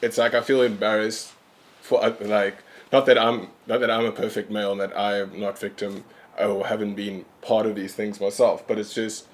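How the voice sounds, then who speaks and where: A man in his late twenties from South Africa, speaking in a thoughtful, halting way in a casual discussion. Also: lowish voice, level tone. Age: 20s. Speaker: graphic designer, panel guest.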